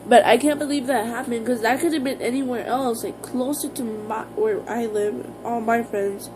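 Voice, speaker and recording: Higher-voiced American woman, rambling vlogger. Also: fairly even intonation, music in the background, low-quality recording. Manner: rambling, informal, halting. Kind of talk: casual personal vlog talk to viewers.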